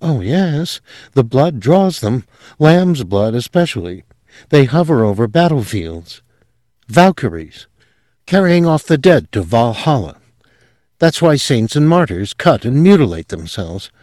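Brief: a male reader doing a soft, dreamy young woman, voice lifted well above his own, hushed and dry. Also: medium pitch, sing-song, a little slow, dry recording, pitched above the performer's own voice. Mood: thoughtful. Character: young woman, eerie and rapturous.

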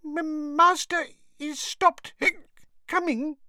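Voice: steady tone, slow, sharp tone, no echo, clean studio sound, heavily acted. A storybook narrator voicing a drunk, weepy female house elf who hiccups and slurs. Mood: tearful. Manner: hiccuping, squeaky, slurred.

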